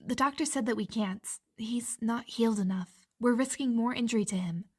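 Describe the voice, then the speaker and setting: An anxious, loyal subordinate in a fantasy audio drama. Her fairly high, husky voice is hushed and hesitant, always deferential to her superior. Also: brisk. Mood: worried.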